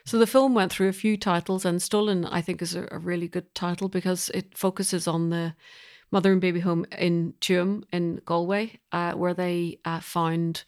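A woman's voice: lowish voice, flat delivery, normal speed, a little mellow, clean tone. A woman with a Belfast accent speaking thoughtfully as an interview guest, explaining her work in a reflective, conversational way. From Belfast, Northern Ireland.

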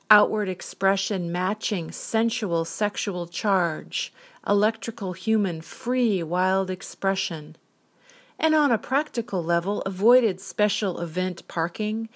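A woman of fifty musing on her own in a dreamy, rambling, spiritual way, reading her creative writing aloud and then talking freely. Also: on the low side. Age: 50s. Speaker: podcast host. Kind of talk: reflective solo monologue.

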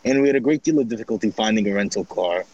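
A man of Armenian background calmly recounting a trip, speaking in a measured way with frequent restarts. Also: on the low side, muffled recording.

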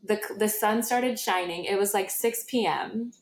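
A woman's voice: medium pitch, measured pace. A woman hosting a podcast, telling a personal story in a casual, gushing and emphatic way. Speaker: podcast host. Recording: roomy, echoey sound.

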